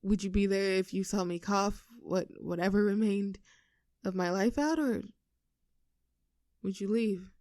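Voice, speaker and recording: Casting: woman to play playful young girlfriend, teasing yet anxious underneath, intimate roleplay audio, mid-pitched, dry close sound. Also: a little mellow, dry recording, close to an everyday voice. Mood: hesitant, serious. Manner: teasing, halting when earnest.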